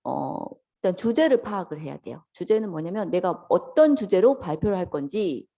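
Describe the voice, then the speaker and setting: A woman lecturer speaks in a slightly low, mellow voice that is hoarse from a lingering cold. She explains step by step in a conversational, instructional way, addressing her students politely and directly. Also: sing-song, brisk. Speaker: lecturer teaching an office software course.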